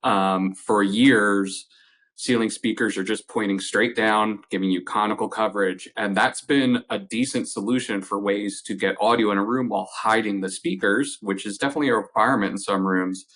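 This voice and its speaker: Low-voiced man explaining technical gear. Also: fairly even intonation, normal speed, a bit bright. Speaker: company representative, audio product expert. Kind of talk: conversational technical explanation in an interview.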